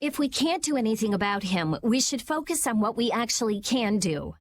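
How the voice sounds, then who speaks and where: An actress playing a blunt, sharp-tongued but caring young girl in a video game. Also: a little high, expressive, fairly quick, a little hiss or hum, lightly acted. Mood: matter-of-fact. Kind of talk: video game cutscene acting.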